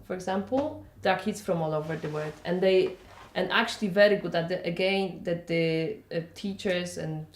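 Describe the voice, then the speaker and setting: A Polish-accented woman with a fairly low voice gives casual interview answers. She rambles conversationally and often checks in with the listener. The room around her is noticeably echoey. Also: normal speed, hardly any pauses. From Poland. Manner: conversational, rambling, checks in with "you know" and "yeah?". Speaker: interviewee, festival organiser.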